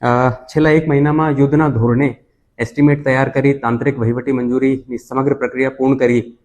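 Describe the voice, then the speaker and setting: A man's voice: medium pitch, little pitch movement, fast, darkish tone. A man speaking as a municipal commissioner, giving a formal status briefing and listing items and figures. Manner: formal, list-like delivery of figures. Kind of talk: official project status briefing.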